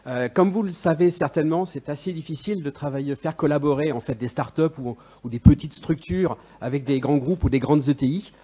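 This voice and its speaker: A man pitching his startup on stage, hesitant, with many fillers and restarts. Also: fairly quick, few pauses, warm tone, slightly rough. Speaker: startup founder. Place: event stage.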